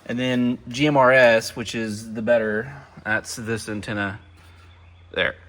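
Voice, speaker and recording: A relaxed man rambles through a show-and-tell of his car, leaning on fillers and letting sentences trail off. He sits inside the vehicle and talks to a camera set up in front of him. His pitch sits in the ordinary middle range for a man. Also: measured pace, a little hiss or hum. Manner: rambling and loose, heavy on fillers and trailing thoughts. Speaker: vlogger showing his car setup. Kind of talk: casual show-and-tell vlog. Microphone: camera mounted in the car.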